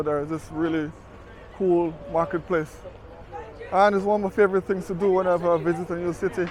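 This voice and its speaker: A man's voice: a little high, slight background noise. A man talking casually as a travel vlogger, chatty and conversational, recorded outdoors on city streets with a camera mic while walking. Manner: chatty, conversational, fond of "you know".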